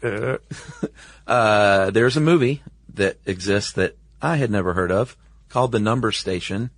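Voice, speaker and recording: Low-voiced man chatting as podcast co-host. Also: unhurried, dry recording. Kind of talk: casual chat.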